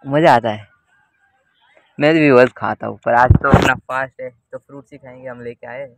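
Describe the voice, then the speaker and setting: A man's voice: mid-range voice, normal speed, poor audio. A young man, chatting casually to viewers in a vlog. He records himself outdoors at a cricket ground, holding the camera at arm's length. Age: young adult. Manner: chatty, addresses viewers directly. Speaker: vlogger. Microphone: handheld camera at arm's length.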